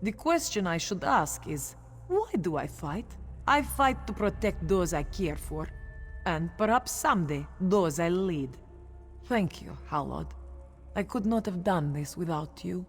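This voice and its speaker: Fierce young feline warrior, lower-pitched, earnest and dramatic, video game dialogue, faint music behind. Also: female voice, lively intonation, a little slow. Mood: solemn, reflective. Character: young proud cat-folk warrior woman, fierce, grieving champion.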